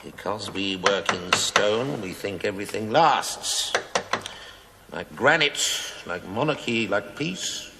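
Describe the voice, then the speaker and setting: An actor playing a middle-aged working stonemason in a television play, wry and grumbling, with mock-deferential, theatrical phrasing. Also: male voice, lowish voice, slow pace, vocal fry. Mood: scornful, brooding. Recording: echoey hall, faint noise, 1980s TV recording.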